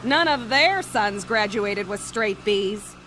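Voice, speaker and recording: A woman's voice: medium pitch, lively intonation, takes it slowly, fairly bright tone, slight background noise, low-quality recording. A woman voicing a fussy, doting middle-aged bee mother, nagging and boastful.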